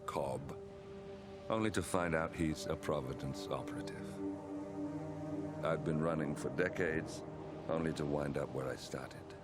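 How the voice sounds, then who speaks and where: Deep-voiced, grave middle-aged mercenary. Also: male voice, measured pace, pauses often, loud music behind the voice. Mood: somber. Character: weary, guarded male mercenary, middle-aged, haunted by conscience. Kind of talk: cinematic video game dialogue.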